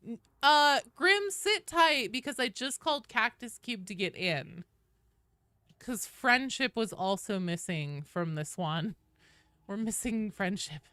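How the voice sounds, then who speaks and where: A woman's voice: on the high side, sing-song, slow pace, pushed and bright, clear, resonant tone, dry recording. A woman chatting casually to viewers as a game livestreamer, playful and joking, with some swearing. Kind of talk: casual livestream chat while gaming.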